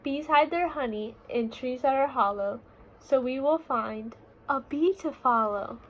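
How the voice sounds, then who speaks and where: A woman's voice: sits high, unhurried, poor audio. A woman voicing a confident, boastful father bear from a children's storybook in sing-song rhyme. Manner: sing-song rhyming, self-assured.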